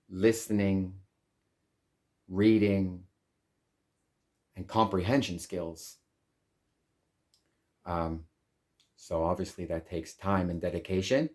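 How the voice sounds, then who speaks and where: A man whose first language is English, hosting his own channel and speaking calmly and deliberately in measured phrases. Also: sits low. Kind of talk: slow reflective monologue.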